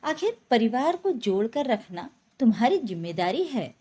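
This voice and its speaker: A woman's voice: a little high, very animated, moderate pace, warm tone, husky. A woman voicing an older mother-in-law in a spoken story, coaxing and admonishing. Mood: coaxing admonishment. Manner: admonishing, persuasive.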